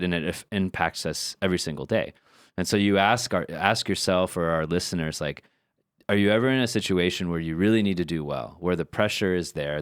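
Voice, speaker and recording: A very low-pitched man talks casually as a podcast co-host, working through his thoughts with frequent fillers and repeated words. The sound is dry and close, with no room around it. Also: smooth, dry recording. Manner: thoughtful, builds ideas with many fillers and repeats.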